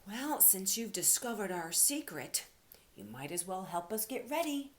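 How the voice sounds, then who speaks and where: A woman voicing a gruff, stern, very old and large male rabbit in a children's storybook. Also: medium pitch, very animated, a little slow. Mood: stern, instructive.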